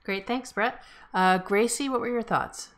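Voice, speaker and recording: A woman's voice: fairly high pitch, measured pace. A woman hosting a group feedback session, speaking casually as she moderates the discussion.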